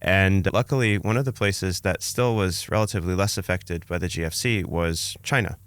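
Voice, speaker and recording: A man's voice: low voice, normal speed, fairly bright tone, dry recording. A man, telling his own story conversationally as a podcast guest. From Canada, born in Romania.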